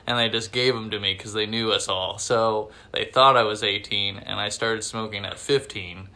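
Guy on a podcast just messing around chatting, bright punchy voice. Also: on the low side, steady tone, normal speed. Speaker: podcast host. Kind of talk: casual joking chat.

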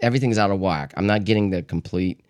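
A man co-hosting a casual beer-tasting chat, talking haltingly with many fillers and restarts. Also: sits low, a little mellow, dry recording, studio quality. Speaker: podcast co-host.

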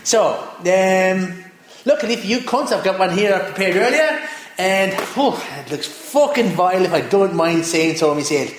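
A swearing, grumbling Irish cook put on by a man with a high, swooping voice that lurches up and down. He rants gruffly at the ingredients in a very echoey room. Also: lively intonation, moderate pace, a little hiss or hum. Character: foul-mouthed crude Irish male cook. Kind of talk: comedic cooking parody.

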